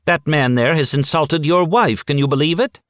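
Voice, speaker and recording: A man's voice: fairly high pitch, normal speed, no echo, clean studio sound, voice pushed up, close to an everyday voice. A man voicing a sanctimonious, scolding pious wife in a raised voice. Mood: indignant. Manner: scolding, self-righteous. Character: sanctimonious pious middle-aged woman.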